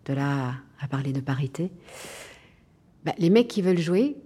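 An actress playing a brash, defiant jazz club owner in a naturalistic drama, talking colloquially with a sardonic edge. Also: female voice, sits low, expressive, moderate pace, warm tone, breathy edge. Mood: musing, wry. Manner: colloquial, sardonic, musing aloud. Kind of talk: naturalistic drama monologue and dialogue.

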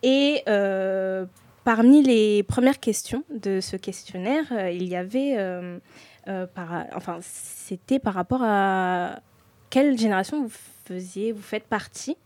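A woman hosting a radio discussion, speaking in a hesitant, halting way as she explains things. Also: medium pitch, darkish tone, no room sound. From France. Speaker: radio show host. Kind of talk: hosted discussion, semi-prepared.

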